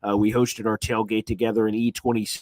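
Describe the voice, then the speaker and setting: A man whose voice is nearly gone from screaming hosts a live sports reaction stream from a hotel room. He talks in an excited, rambling way, full of "uh"s and restarts. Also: fairly low pitch, fast, no echo. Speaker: sports reporter and livestream host. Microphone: streaming setup on weak hotel wifi.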